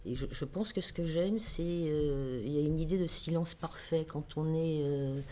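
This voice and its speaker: A woman talks in a personal, reflective way, unscripted. Her tone is dark and soft-edged, and she searches hesitantly for how to put things. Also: sits low, moderate pace.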